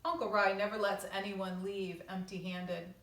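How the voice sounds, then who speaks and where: A woman with a mid-pitched voice tells a children's story the way a teacher does for a class. Her delivery is expressive and informal. She sounds a little way from the microphone, in a roomy, echoing space. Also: normal speed. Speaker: teacher reading a picture book to her class. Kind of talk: read-aloud storytelling with casual asides to children. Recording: roomy echo, distant microphone.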